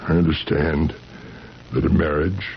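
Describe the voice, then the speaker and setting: An actor playing a weary, distressed seventy-year-old husband in a 1970s radio drama, halting and repeating himself with quiet insistence. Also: male voice, extremely low pitch, fairly even intonation, creaky, exaggerated voice. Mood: weary, resigned. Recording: dull, noisy vintage radio recording.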